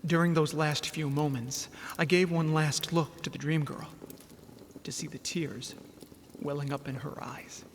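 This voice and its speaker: A man's voice: a little high, steady tone, measured pace, a little hiss or hum. A man playing an ordinary, earnest, anxious guy in an audio drama, both acting and narrating. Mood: subdued, regretful.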